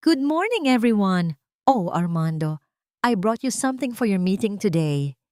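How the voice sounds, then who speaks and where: A woman's voice: medium pitch, very animated, normal speed, a little mellow, no room sound, studio quality, pitched above the performer's own voice, close to an everyday voice. A woman voicing a bold, flirtatious mistress in a story, perky and breezy.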